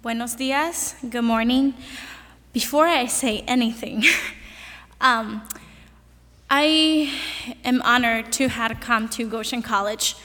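High-voiced young woman, echoey hall. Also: slow, long pauses, bright, forceful tone, a little hiss or hum. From Venezuela. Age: young adult. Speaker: graduating student speaker. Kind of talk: personal prepared speech to an audience. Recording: echoey hall with long reverb.

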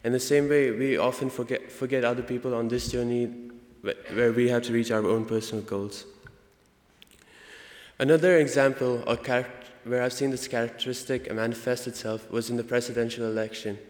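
A young man with an Indian accent gives an earnest prepared student speech, halting now and then to correct himself. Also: medium pitch, fairly even intonation. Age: young adult. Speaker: student speaker. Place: large indoor hall, convocation. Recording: echoey hall with long reverb.

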